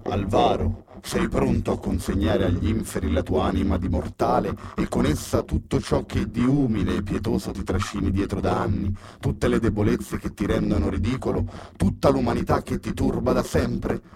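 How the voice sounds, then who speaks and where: A man plays a commanding occult cult leader whose heavily gravelly voice chants slow, solemn invocations in an audiobook tale. A loud crowd murmur rumbles behind him. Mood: solemn incantation.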